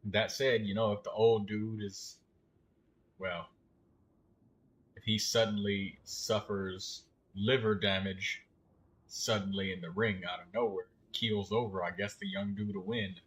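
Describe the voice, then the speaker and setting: Man, lowish voice, gaming streamer, casual rambling commentary, blunt slangy delivery. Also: flat delivery, a little slow, fairly bright tone. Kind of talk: casual live commentary over gameplay.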